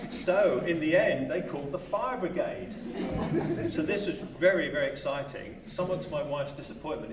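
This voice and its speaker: A man's voice: mid-range voice, very noisy. A man with an English accent telling humorous stories about caring for his wife to an audience at an event. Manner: humorous anecdotal storyteller. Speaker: carer speaking at a carers' event. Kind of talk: storytelling speech to an audience. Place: event hall. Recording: echoey hall, voice from a distance.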